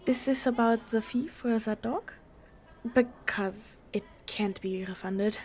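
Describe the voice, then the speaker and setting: An actress playing an anxious German hotel desk clerk in an audio drama, breathy and guarded, speaking haltingly with a German accent. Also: female voice, a little high, darkish tone, a little hiss or hum. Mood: polite, reserved. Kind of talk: audio roleplay acting.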